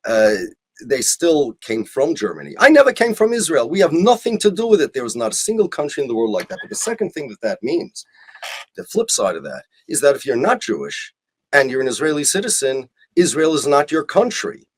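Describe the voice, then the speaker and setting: A man speaking as an interview guest, arguing emphatically with rhetorical questions and deliberate repetition. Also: on the high side, very animated, husky. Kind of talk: impassioned argumentative interview talk.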